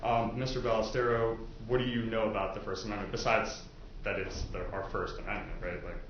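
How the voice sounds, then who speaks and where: Muffled, echoey, low-voiced male prosecutor. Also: flat delivery, brisk. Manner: conversational, chatty, uses everyday examples. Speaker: prosecutor questioning prospective jurors. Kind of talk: informal courtroom jury questioning. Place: courtroom. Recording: echoey, muffled, noisy courtroom recording.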